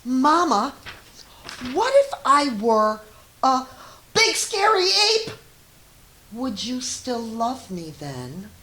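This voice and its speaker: A woman's voice: sits high, very animated, drawn-out words, pauses often, pushed and bright, voice pushed up, full character voice. A woman voicing an imaginative, insistent little boy questioning his mother in a storybook read-aloud. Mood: curious, testing. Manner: pleading, exaggerated questioning. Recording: roomy echo with faint hiss.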